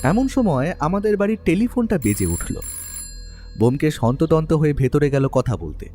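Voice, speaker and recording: A man playing a writer who is a detective's companion, telling the story in an expressive, literary first person. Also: fairly high pitch, moderate pace, long reverb tail, soft background music. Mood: brisk, narrating. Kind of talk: scripted first-person story narration and drama acting.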